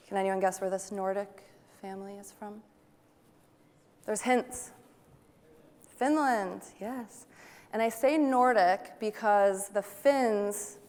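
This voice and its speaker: A young woman giving a photography talk, chatty and enthusiastic and asking her audience questions. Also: mid-range voice. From Wisconsin, United States. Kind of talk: conference presentation to an audience. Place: large conference hall. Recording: long hall reverb.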